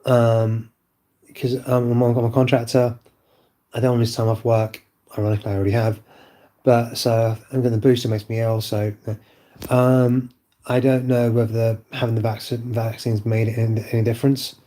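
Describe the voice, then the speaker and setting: A man vlogging from home while unwell, his voice lowered by illness, rambling and hesitant with many fillers. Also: little pitch movement, normal speed, dark tone. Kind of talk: casual monologue to camera. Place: at home indoors.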